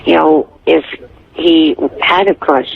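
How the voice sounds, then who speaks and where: A man phones in to a radio show with a fairly high, creaky voice. He talks earnestly and haltingly, very literal, over a dull phone connection. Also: fairly even intonation, a little hiss or hum. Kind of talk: phone-in conversation. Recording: dull telephone call on radio.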